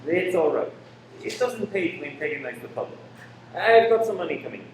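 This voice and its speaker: A man playing an evasive, sleepy cinema-running husband in a radio play, halting and dismissive. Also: on the high side, expressive, far from the mic, natural voice. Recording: very echoey room, off mic, background noise.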